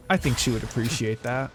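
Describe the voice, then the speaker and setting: A man chatting casually and informally on a game livestream, rambling from one thought to the next. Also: mid-range voice. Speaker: livestreamer playing a video game. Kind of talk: casual stream chat. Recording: music playing behind the voice.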